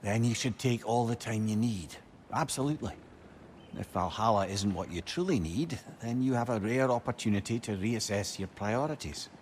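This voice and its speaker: Scottish accent, man voicing witty old sage, lovelorn, heartfelt, very animated pitch, game drama, faint noise. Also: normal speed, lightly acted. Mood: supportive, tender. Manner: expressive, wry, heartfelt. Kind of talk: dramatic video game voice acting.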